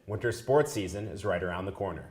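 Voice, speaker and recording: A young man hosting a student sports news show, reading a scripted sports update in a brisk announcer delivery. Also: sits low, animated, a little mellow. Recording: music bed underneath.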